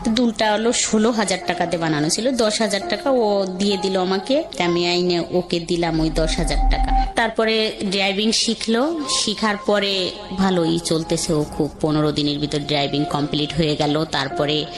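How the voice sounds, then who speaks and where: A woman's voice: lowish voice, fairly even intonation, moderate pace. A woman with a Bangladeshi accent telling her own life story in a conversational, rambling, colloquial way. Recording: music under the voice.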